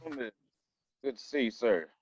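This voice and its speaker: A man's voice: medium pitch, expressive, slow, breathy edge, dry recording. A man reading the part of a school principal, formal and polite, in a table read over a video call. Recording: dry, dull video call audio.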